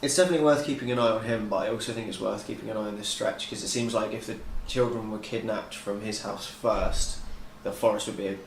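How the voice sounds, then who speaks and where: A man's voice: on the low side, steady tone, fast, vocal fry, few pauses. A man chatting casually and planning moves as a player in a tabletop game. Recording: echoey room, faint noise.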